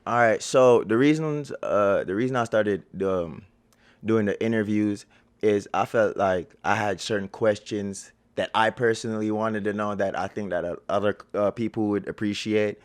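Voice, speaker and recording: A man from Jamaica with a Jamaican accent, a guest chatting casually in an interview with slangy, laid-back talk. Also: fairly low pitch. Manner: slangy, laid-back, leans on "you feel me". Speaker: guest, media platform owner.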